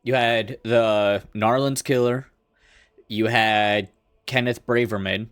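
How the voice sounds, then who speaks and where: A man's voice: fairly low pitch, steady tone, slow pace, a bit bright, smooth, dry recording, clean studio sound. A man talking casually as a player discussing a tabletop game.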